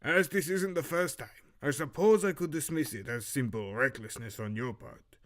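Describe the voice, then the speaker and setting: A man voicing a stern archery master in an audio drama, measured and admonishing, with a put-on, faintly Romanian accent. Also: mid-range voice, fairly bright tone, close to an everyday voice. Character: stern male archery master rebuking a student.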